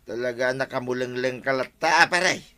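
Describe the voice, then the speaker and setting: An actor playing an excitable, jokey family man with no money in a Filipino comedy drama, with an Ilocano accent. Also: medium pitch, normal speed, clean tone, close to an everyday voice. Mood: curious, teasing. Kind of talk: broadcast comedy drama acting. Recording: music behind the voice.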